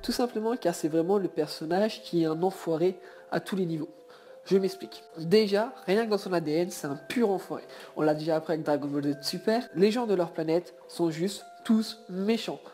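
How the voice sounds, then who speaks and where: A woman's voice: fairly low pitch, brisk, dark tone. A woman giving a casual, improvised opinion as an anime fan, rambling and emphatic in colloquial language. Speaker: guest debater, anime fan. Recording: background music under voice.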